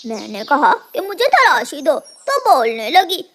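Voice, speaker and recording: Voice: high-pitched, big pitch swings, a little slow, a bit bright, full character voice. An actor voicing a sly, self-righteous male crow in a cartoon, whining and indignant. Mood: indignant, lying. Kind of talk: animated cartoon dubbing.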